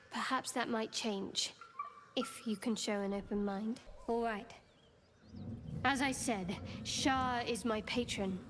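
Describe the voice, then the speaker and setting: A devout, guarded young cleric in a fantasy video game. Her voice sits a little high and moves slowly and solemnly, as if keeping secrets. There is faint noise behind her. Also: fairly bright tone. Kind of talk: video game dramatic dialogue.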